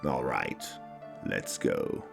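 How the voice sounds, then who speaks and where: An actor playing a cold, haughty legendary warrior in a game parody, speaking slowly and with disdain. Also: male voice, rumbling low, animated, gravelly, over music, full character voice. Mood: cold, brooding. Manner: slow, deliberate, disdainful.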